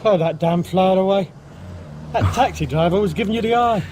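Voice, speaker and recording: An actor playing a laconic, shady burglar in a radio drama, terse, offhand and deadpan. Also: male voice, sits high, a little fast, a little hiss or hum, lightly acted. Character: laconic, racing-obsessed male shady burglar.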